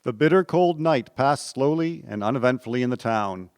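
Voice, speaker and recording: A man's voice: mid-range voice, animated, moderate pace, darkish tone, no room sound, studio quality. A man reading scripted narration for a radio drama. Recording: music under the voice. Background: instrumental music.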